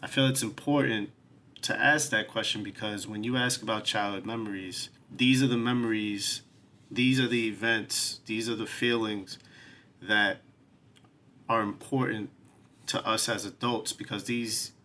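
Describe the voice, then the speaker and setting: A man's voice: lowish voice, bright, forceful tone, slight background noise. A man, hosting his podcast and talking earnestly about his life and the show in a casual, reflective way. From New York City. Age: 40s. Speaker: podcast host. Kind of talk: solo podcast introduction.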